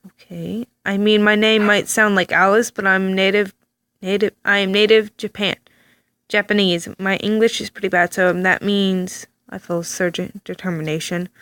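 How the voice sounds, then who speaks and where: A woman reads a story game aloud into a close mic, reacting as she goes and often stumbling over words. Her pitch sits mid-range, and the sound is dry with no room echo. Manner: animated, reactive, stammering asides. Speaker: let's play reader.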